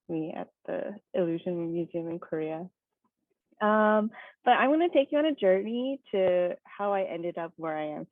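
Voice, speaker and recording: A young woman from Hawaii speaking as a guest presenter, telling her story in a relaxed, chatty way full of fillers and little laughs. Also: on the low side, no echo. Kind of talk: casual personal talk. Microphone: video call. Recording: dry tinny video call audio.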